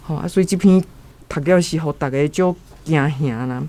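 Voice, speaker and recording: A woman guest giving casual spoken thoughts on a story, chatty and relaxed. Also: sits low, moderate pace. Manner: chatty, filler-heavy, relaxed. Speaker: guest reader sharing thoughts.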